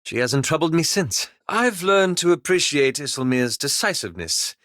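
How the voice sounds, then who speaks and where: An actor playing a reserved, bookish adventurer in a video game, speaking formally and politely with cautious, apologetic hesitance. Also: male voice, fairly high pitch, animated, normal speed, fairly bright tone, no echo, studio quality. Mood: reflective, earnest. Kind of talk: video game character dialogue.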